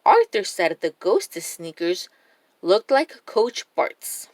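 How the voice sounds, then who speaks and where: A woman's voice: medium pitch, very animated, slow pace, dry recording. A woman reading a picture story aloud expressively for young children.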